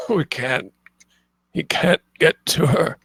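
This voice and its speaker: An actor at a script table read playing a grief-stricken, dying father, resolute but failing, with halting, strained speech. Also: fairly high pitch, gravelly, a bit bright, slightly rough, no echo, full character voice. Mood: pained urgency. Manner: halting, strained, breaking into near whispers.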